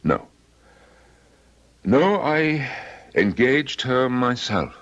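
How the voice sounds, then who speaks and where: An actor playing a courtly, blustering ballet impresario in an old-time radio drama. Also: male voice, mid-range voice, lively intonation, drawn-out words, fairly bright tone. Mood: grand, soothing. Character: suave, excitable male ballet impresario, courtly and dismissive. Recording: dull lo-fi radio drama recording.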